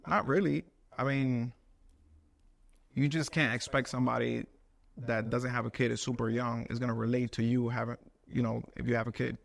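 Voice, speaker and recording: Gravelly-voiced man in his thirties. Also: mid-range voice, normal speed, no room sound. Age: 30s. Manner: relaxed, rambling, many "like" fillers. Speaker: studio owner, guest. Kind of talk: casual interview chat.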